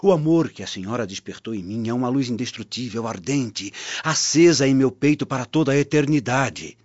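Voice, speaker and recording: An actor playing an ardent, insistent Roman prefect declaring himself floridly in a radio drama. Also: male voice, mid-range voice, expressive, fairly quick, darkish tone, dry recording, close to an everyday voice. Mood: ardent, desperate. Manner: florid, impassioned. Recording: dry, dull lo-fi audio.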